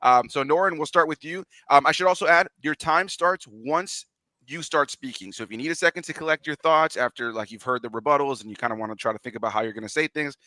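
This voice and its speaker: Bright-voiced, chatty man hosting. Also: fairly high pitch, quick pace, dry recording. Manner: relaxed, chatty, restarts a lot. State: sniffly from allergies and a hangover. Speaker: host. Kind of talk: casual online panel show hosting.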